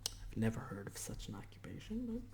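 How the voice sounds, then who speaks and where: A man in a whispered ASMR roleplay as a portrait artist, chatting hesitantly in short phrases with pauses between them. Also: fairly low pitch, wildly swooping pitch, warm tone, a little hiss or hum, low-quality recording.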